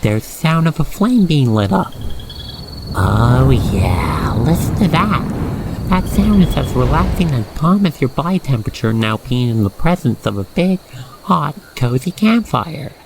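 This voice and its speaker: A narrator guiding the listener through a scripted ASMR roleplay in a soothing, playful storytelling manner. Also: medium pitch. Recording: faint noise with chirping crickets. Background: night insect ambience.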